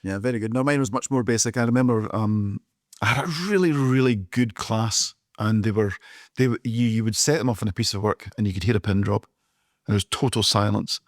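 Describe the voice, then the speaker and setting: A man's voice: lowish voice, brisk, no room sound, studio quality. A man with a Scottish accent co-hosting a podcast, telling jovial stories about his classroom pranks. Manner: loud, jovial storyteller. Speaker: podcast co-host, former teacher. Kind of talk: casual chat, storytelling.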